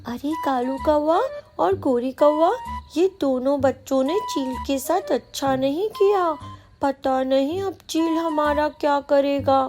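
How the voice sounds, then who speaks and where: Woman as an indignant, high-voiced mother bird. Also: measured pace, darkish tone, soft background music. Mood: reproachful. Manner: complaining. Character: indignant, protective mother songbird.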